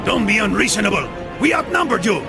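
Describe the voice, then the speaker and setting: An actor playing a sardonic, greedy mercenary rogue with a Spanish accent in a video game, flippant and wisecracking. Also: male voice, sits high, sing-song, fast talker, vocal fry, over loud music, exaggerated voice. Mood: cocky warning. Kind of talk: dramatic video game acting.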